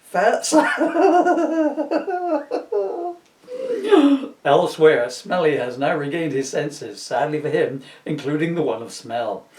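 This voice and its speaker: A man's voice: on the high side, big pitch swings, slow, darkish tone. A middle-aged man reading a comic story aloud with chatty asides, jokey and easily tickled, stopping to interrupt himself. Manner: giggly, jokey, self-interrupting. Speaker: author reading own comedy book aloud. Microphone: camera at some distance. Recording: roomy echo, distant microphone.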